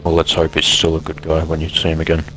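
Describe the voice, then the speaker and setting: A gruff male camp boss in a zombie game skit, acted by a man, very low in pitch with a flat, hard-edged, forceful tone. He is guarded and blunt, and faint music runs underneath. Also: quick pace, low-quality recording. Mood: guarded, probing. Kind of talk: improvised roleplay acting in a video game skit.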